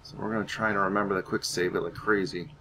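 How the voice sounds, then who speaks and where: A man's voice: deep voice, steady tone, fast talker, bright, forceful tone. A man giving casual gameplay commentary, rambling and thinking aloud as he goes. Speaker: gaming channel host. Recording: faint background noise.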